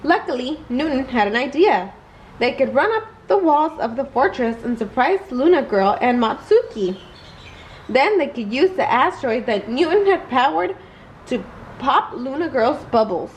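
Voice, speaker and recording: A woman reading a children's story aloud in an expressive bedtime read-aloud style. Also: high voice, moderate pace, poor audio. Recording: clear background noise.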